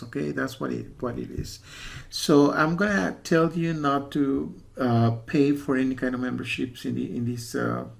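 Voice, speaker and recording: Male reviewer, mid-pitched with noticeable fry; unscripted, hesitant delivery that hammers points by repetition. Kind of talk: casual spoken warning review with screen walkthrough.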